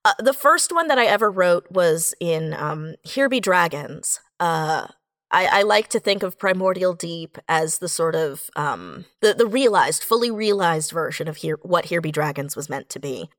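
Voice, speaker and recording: A woman's voice: lowish voice, expressive, normal speed, a bit bright, no room sound, clean studio sound. A woman in her late twenties speaking earnestly and effusively as a writer in a reflective interview. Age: late 20s. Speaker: audio drama writer and voice actor.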